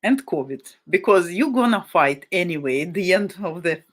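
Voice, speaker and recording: A woman's voice: on the low side, lively intonation, moderate pace. A woman from Ukraine, a native Russian speaker with a Ukrainian-Russian accent, hosting a live stream and talking bluntly and indignantly, often repeating herself for emphasis. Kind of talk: casual live stream chat.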